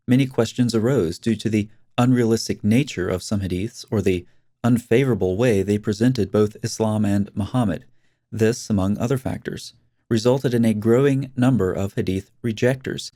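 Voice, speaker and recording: A man's voice: on the low side, dark tone, dry recording, studio quality. A man hosting a scripted commentary video, speaking in a sarcastic, mocking way.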